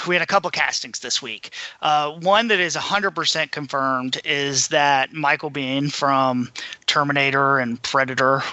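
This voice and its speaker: A man's voice: mid-range voice, bright, forceful tone, no echo, poor audio. A man running through news in a casual, informal way as a podcast panelist. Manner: informal, conversational. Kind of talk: casual news rundown and discussion.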